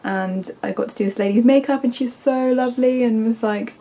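A woman's voice: a little high, fairly quick, warm tone. A young English woman in her twenties chatting casually to a handheld camera in her bedroom, congested and rambling with lots of ums and likes. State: congested, stuffy nose. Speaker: vlogger. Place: bedroom at home. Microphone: camera held at arm's length.

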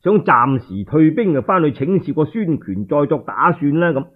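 Animated male Cantonese radio storyteller. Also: fairly high pitch, moderate pace, husky, narrow, tinny audio. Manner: theatrical, colloquial, emphatic. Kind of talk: dramatic traditional oral storytelling, radio narration.

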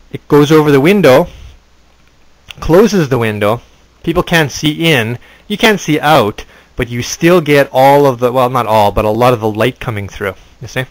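Middle-aged man, rambling home-tour narration, webcam audio, mid-pitched. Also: rough recording. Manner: chatty, rambling, points things out. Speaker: vlogger giving a house tour. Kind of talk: casual handheld house tour narration. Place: indoors in a house, moving between rooms. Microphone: handheld webcam.

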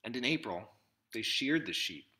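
A man in his thirties reads a storybook aloud in measured short phrases with pauses, recorded indoors at arm's length from the mic. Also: medium pitch, lively intonation, background noise. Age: 30s. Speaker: picture book reader. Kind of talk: read-aloud storybook narration. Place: small indoor room with classroom wall displays.

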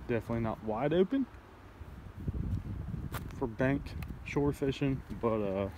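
A man's voice: medium pitch, lively intonation, measured pace. A man hosting a fishing vlog, rambling casually with plenty of fillers as he walks a creekside access point outdoors. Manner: rambling, chatty, with frequent fillers. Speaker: fishing channel host. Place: outdoors by a creek near a road bridge. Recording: outdoor camera audio with loud road noise. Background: loud outdoor ambience and passing traffic.

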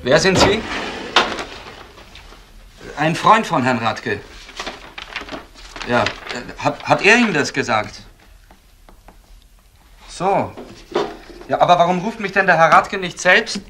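An actor playing a wary, flustered man on a phone call in a crime radio play, halting and stammering impatiently. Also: on the high side, very animated, noisy background.

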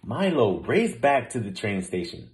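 A man narrates a children's picture book aloud. His pitch is middling for a man and rises and falls in an animated way. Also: a little slow, slight background noise. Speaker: storybook reader. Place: small room at home, indoors.

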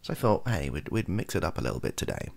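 A young man chatting softly in a rambling, self-deprecating ASMR style, hesitant and husky, close to the microphone. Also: sits low, big pitch swings, fast. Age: young adult. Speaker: ASMR video creator. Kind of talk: softly spoken ASMR chat. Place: small bedroom at a desk.

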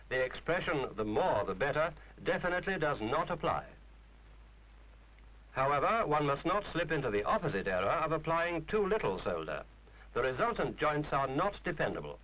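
A man's voice: mid-range voice, fairly bright tone, low-quality recording. A man reading a scripted instructional film narration in a formal, precise, didactic manner.

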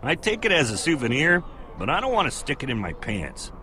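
Swooping, goofy young sidekick. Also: male voice, mid-range voice, wildly swooping pitch, fairly quick, bright, forceful tone. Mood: cheerful, joking. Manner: goofy, slangy, enthusiastic. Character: excitable, laid-back big young guy sidekick. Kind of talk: animated video game voice acting. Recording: game audio with background noise.

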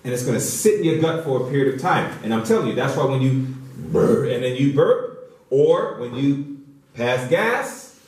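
A man's voice: medium pitch, expressive, moderate pace, a little mellow, far from the mic. A middle-aged man giving an emphatic, instructive public health lecture, repeating key phrases and posing rhetorical questions. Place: indoor church hall, speaking to an audience. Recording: very echoey hall, picked up from afar.

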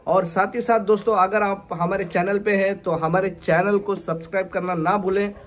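A man's voice: high-pitched, fairly even intonation, moderate pace. A man in his thirties, a fishing tackle shop owner, talking casually to camera on a house porch and mixing English phrases into his talk. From Maharashtra, India. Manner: chatty, keeps calling viewers "friends", mixes English phrases in. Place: covered porch of a house, daytime.

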